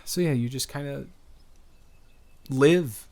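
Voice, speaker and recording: A man acts out a wholesome, flirty male stranger in an intimate audio roleplay. He speaks at a medium pitch, straight to the listener. His delivery is rambling and hesitant, earnest and reassuring, with a gently teasing streak. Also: takes it slowly.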